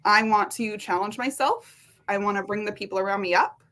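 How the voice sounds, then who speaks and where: A woman from Washington state, a guest in an interview, talking in an energetic, chatty way and laughing often. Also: medium pitch, fairly quick. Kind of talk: casual interview chat.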